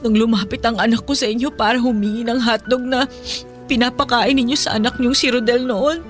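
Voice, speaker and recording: A woman's voice: fairly high pitch, steady tone, a little fast, lightly acted. A woman playing a long-suffering, principled mother in a radio drama, speaking in an earnest, emotional way. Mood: hurt, reproachful. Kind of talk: Filipino radio drama acting. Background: music.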